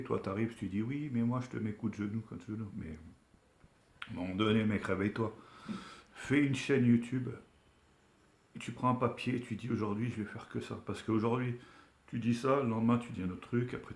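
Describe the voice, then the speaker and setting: A man's voice: on the low side, a little fast, reverberant. A man of Algerian origin talking casually in an emphatic, admonishing, colloquial way, as if setting someone straight in an online video. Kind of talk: casual opinion rant to camera.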